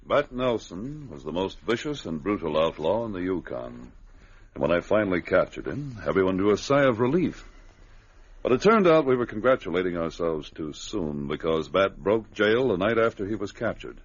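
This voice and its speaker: A man playing a stalwart Mountie sergeant in an old radio adventure serial, earnest, measured and authoritative. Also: sits low, very animated, husky, heavily acted. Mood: solemn narrating. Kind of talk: 1940s-50s radio adventure acting. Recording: dull lo-fi vintage radio recording.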